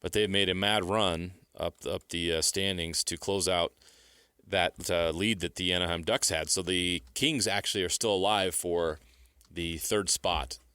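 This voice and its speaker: Deep, bright-voiced male sports co-host. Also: fairly even intonation, measured pace, smooth, dry recording, clean studio sound. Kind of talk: casual sports talk.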